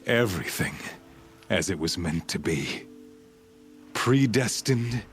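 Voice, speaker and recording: An actor playing a menacing, fanatical warrior of a sun cult in a video game, speaking in grandiose, ominous oratory. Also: male voice, deep voice, lively intonation, takes it slowly, faint music behind. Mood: fervent, ominous. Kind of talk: dramatic video game acting.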